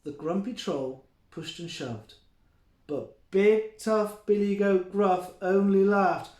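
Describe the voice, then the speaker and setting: A man reading a story to young children in an expressive, playful and deliberate storytelling style. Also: sits high. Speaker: early years teacher reading a story. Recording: roomy, echoing indoor sound.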